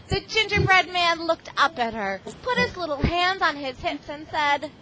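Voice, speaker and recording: Female classroom storyteller: high voice, unhurried pace, expressive delivery for young children, loud background noise. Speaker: reading tutor and visiting parent reading to a class. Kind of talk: storybook read-aloud to children. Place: school classroom. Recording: very noisy amateur classroom recording.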